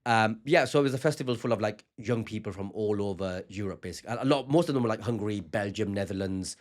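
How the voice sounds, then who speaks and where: A man's voice: on the low side, fast talker. A man hosting a comedy podcast, chatting casually in a playful, teasing, boastful way. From England. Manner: playful, teasing, self-aggrandizing. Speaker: podcast host, stand-up comedian.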